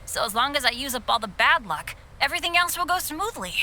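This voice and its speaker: A woman's voice: high-pitched, big pitch swings, fast, pushed and bright, a little hiss or hum, full character voice. A woman voicing an unlucky teenage boy adventurer, earnest and boyish, in an anime video game. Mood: upbeat, earnest.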